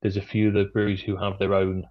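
Podcast guest, Welshman from the north, deep-ish voice, talks in loose restarts over a muffled recording. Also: monotone, quick pace. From North Wales. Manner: rambling, frequent restarts and fillers. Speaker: brewery guest. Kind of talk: casual podcast chat. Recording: dull lo-fi sound.

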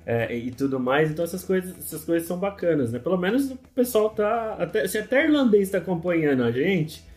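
Relaxed Brazilian podcast co-host. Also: male voice, high-pitched, fast, soft background music. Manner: relaxed, agreeing, adds short comments. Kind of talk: casual football podcast chat.

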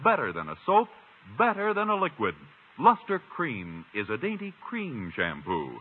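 A man's voice: a little high, wildly swooping pitch, moderate pace, breathy edge. An American man announcing in polished 1950s network radio style, reading scripted copy. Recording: vintage 1950 radio, narrow band, music behind.

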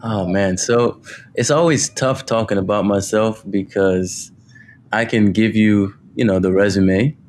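A man speaking as a guest on a podcast, talking humbly about his work with many pauses and fillers. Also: fairly low pitch, a little mellow, slight background noise. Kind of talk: casual interview.

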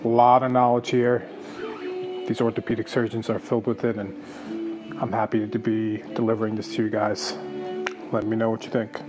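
Casual male host, steady monotone reading, music underneath. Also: on the low side, normal speed, long pauses. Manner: casual, loose phrasing with "kinda". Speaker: podcast host, physical therapist. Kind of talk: podcast intro and interviewing. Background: music bed.

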